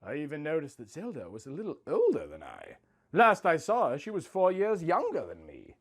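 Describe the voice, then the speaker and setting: A man's voice: on the high side, huge pitch swings, brisk, husky. A man narrating a scripted first-person story. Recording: music under the voice. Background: music.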